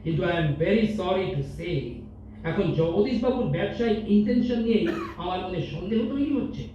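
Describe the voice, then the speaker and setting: A man's voice: sits high, moderate pace, dark tone, close to an everyday voice. A man playing a stern, condescending company boss in an amateur stage audio drama, scolding pompously with English mixed in. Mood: reproachful, condescending. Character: stern, condescending male company boss, business-minded and impatient. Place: multipurpose hall stage. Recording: distant echoey hall, dull, faint noise.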